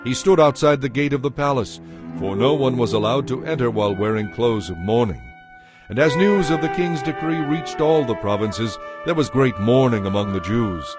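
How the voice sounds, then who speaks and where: Male narrator, medium pitch, solemn storytelling, scripted Bible drama, clear background music. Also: animated, moderate pace.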